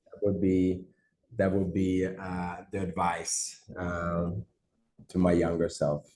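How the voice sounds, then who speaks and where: A middle-aged man from Sweden, a startup CEO answering interview questions in a halting way full of fillers and repeated words. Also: sits low, completely flat delivery, darkish tone. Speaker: startup CEO, podcast guest. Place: office. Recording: roomy echo on a call.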